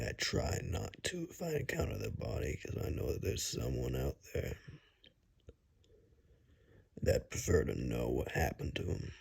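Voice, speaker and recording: A man playing a wary, blunt woodsman guide in a hushed ASMR roleplay, speaking in a husky, halting, matter-of-fact near-whisper. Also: extremely low pitch, full character voice. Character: wary, blunt male woodsman guide who knows monsters.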